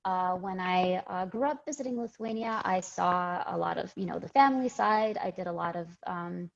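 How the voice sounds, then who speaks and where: A young woman from Chicago speaking on a panel over a video call, hesitant and halting. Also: lowish voice, creaky. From Chicago, United States. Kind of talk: casual panel talk. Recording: muffled lo-fi call audio.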